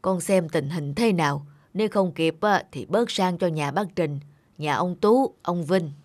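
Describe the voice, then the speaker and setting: An actor voicing a kindly old craftsman grandfather in an animated folk tale, speaking in an encouraging, instructive way. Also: high voice, measured pace, no echo. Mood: practical. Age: older. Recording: voice over background music.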